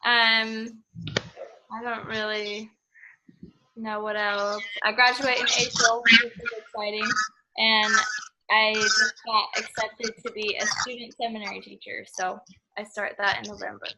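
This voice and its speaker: A woman's voice: a little high, little pitch movement, measured pace, very noisy. A young American woman speaking casually as a participant in a student discussion. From Idaho, United States. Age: young adult.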